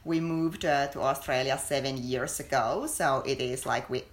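A woman's voice: extremely low pitch, steady tone, moderate pace. A woman from Finland in her thirties with a Finnish accent, chatting as a podcast guest and new mother, with many "like" and "yeah" fillers. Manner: chatty, many "like" and "yeah" fillers, slightly non-native phrasing. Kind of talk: casual interview chat.

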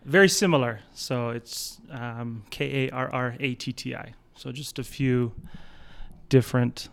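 An easygoing man in his thirties from Utah introduces himself with small hesitations. His voice is smooth and clear, at an ordinary pitch. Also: unhurried, a bit bright. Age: 30s. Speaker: podcast guest. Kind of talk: casual podcast chat.

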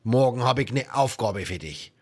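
A man voicing a gruff, bossy pirate captain in an audiobook, barking orders in colloquial slangy speech. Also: medium pitch, animated, moderate pace, a little mellow, natural voice. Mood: grudging, bossy. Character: gruff, bossy one-eyed male pirate captain, middle-aged.

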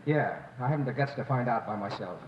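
A desperate, frightened man on the phone in an old radio crime drama. He pleads in a fast, urgent, hushed way. The telephone voice sounds distant and echoey. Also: mid-range voice, expressive, muffled recording, slight background noise. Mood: urgent, afraid. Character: desperate, frightened gambler begging a friend by phone. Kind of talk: 1940s radio acting.

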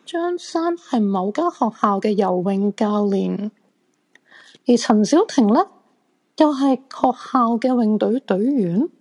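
An actress playing an eager, self-assured crystal fortune-teller thrilled by ghosts, in a Hong Kong Cantonese radio drama. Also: female voice, medium pitch, slow pace. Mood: excited, eager. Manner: eager, friendly, animated.

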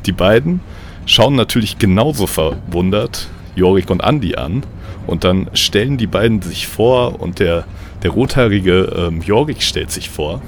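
A man's voice: low-pitched, lively intonation, measured pace, a little hiss or hum. A man narrating a comic audio play as host, with a playful, mock-dramatic storytelling manner.

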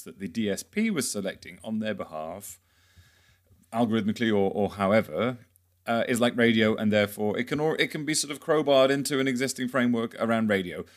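A man hosting an interview podcast, putting questions in a conversational, slightly tentative and roundabout way. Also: on the low side.

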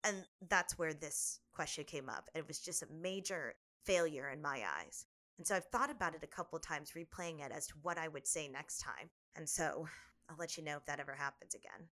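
Young female podcast co-host, slightly low pitch, bright tone, earnest reflective storyteller. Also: lively intonation, moderate pace. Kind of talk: casual podcast chat.

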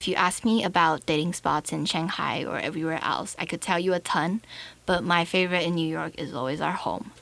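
A woman's voice: deep voice, fairly quick, gravelly, a bit bright. A young adult woman from China telling personal stories casually as an interview guest.

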